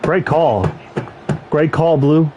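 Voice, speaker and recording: Excitable young male game streamer. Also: a little high, slow, background noise. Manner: excitable, talks to players on screen. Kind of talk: live gameplay commentary.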